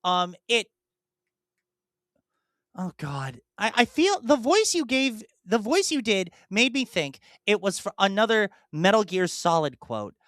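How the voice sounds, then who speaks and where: Animated, slow-talking woman podcast co-host. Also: medium pitch, no echo, studio quality. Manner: animated, self-deprecating, thinks aloud and repeats clues. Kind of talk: casual chat.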